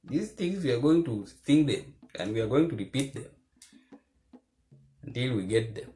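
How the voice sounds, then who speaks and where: A man giving earnest, preachy commentary to the camera, keeping his voice low because he is recording at home at night. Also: animated, moderate pace, warm tone, soft background music. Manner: earnest and preachy, repeats phrases for emphasis. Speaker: vlogger.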